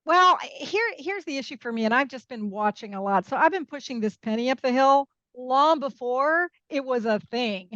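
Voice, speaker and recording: A woman with a fairly high voice speaks as an interview guest, explaining things with emphasis and checking in as she goes. The sound is dry and a little dull, as in a lo-fi podcast recording. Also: animated, moderate pace, hardly any pauses, sharp tone, no echo. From Peru and Mexico. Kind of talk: conversational interview commentary.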